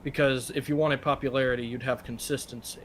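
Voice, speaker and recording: A man's voice: mid-range voice, steady tone, a little fast, fairly bright tone, slight background noise. A man chatting casually in a group reaction commentary, swearing freely as he talks. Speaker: reaction show co-host. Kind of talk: casual group commentary while watching videos.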